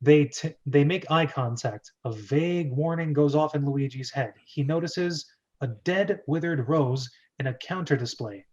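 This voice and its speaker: Male host-narrator for a screenplay reading, moderately high pitch, slightly muffled lo-fi recording. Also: unhurried, darkish tone. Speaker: host and stage-direction narrator. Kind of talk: dramatic script reading, narration.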